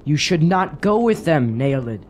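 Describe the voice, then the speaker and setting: Man acting a mellow, cautious, earnest traveler. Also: a little high, sing-song. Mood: urgent, worried. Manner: formal, grave, measured phrasing. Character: cautious, earnest male traveler wary of dark woods. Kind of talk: fantasy radio drama acting.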